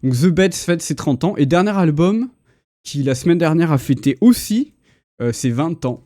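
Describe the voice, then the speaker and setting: A man's voice: on the high side, expressive, moderate pace, mellow, no room sound, studio quality. A man, hosting a casual, slangy pop culture livestream chat with enthusiasm. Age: 30s. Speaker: host. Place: indoor livestream.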